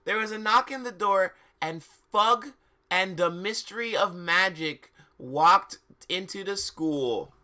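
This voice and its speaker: Bright-voiced comic livestream reader, theatrical delivery. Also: medium pitch, slow. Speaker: livestream host reading fan fiction. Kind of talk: comic dramatic reading with casual asides.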